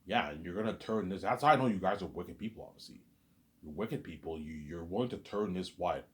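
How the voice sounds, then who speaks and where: Guy with a really low voice talking fast, going in circles, stuttering and mumbling off at the end of bits. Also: lively intonation. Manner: repetitive, stammers on restarts, trails off quietly on asides. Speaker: solo vlogger. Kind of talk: rambling unscripted monologue.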